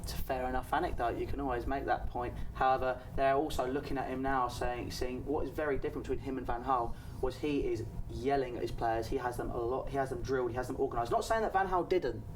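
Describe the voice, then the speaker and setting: A man argues his side of a football debate at a quick clip, loud and insistent. A faint hiss of noise sits under his voice. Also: mid-range voice, rough recording. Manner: argumentative, loud, talks over others. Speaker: Chelsea fan pundit.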